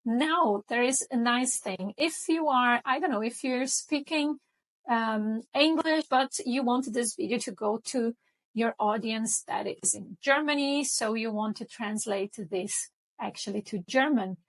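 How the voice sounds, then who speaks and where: A woman with a fairly high voice, a Portuguese native speaker, walks through a design tutorial step by step. She keeps it chatty and informal and keeps checking in with "okay?". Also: measured pace, rough recording. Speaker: tutorial host. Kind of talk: screen-recording software tutorial.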